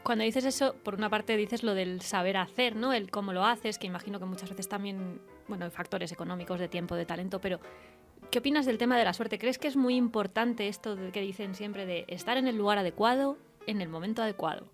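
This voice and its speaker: A woman's voice: medium pitch, fairly quick. Woman radio host from Spain over music. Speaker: radio host interviewing a writer. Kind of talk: conversational literary radio interview.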